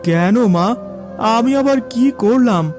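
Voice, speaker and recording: High-voiced, humble poor villager. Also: male voice, lively intonation, slow, darkish tone, faint music behind, full character voice. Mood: hurt, sorrowful. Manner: pleading, plaintive, earnest. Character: poor, humble, devoted village son and husband. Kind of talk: animated fairy tale acting.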